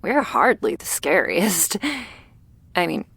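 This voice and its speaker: A woman playing a shy, gentle vampire girl in an ASMR roleplay, speaking softly and hesitantly, awkward but reassuring, often slipping into a whisper. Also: fairly low pitch, sing-song, no echo, exaggerated voice. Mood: nervous, wistful. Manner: hesitant, halting, soft-spoken with breathy whispers. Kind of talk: ASMR roleplay addressed to the listener.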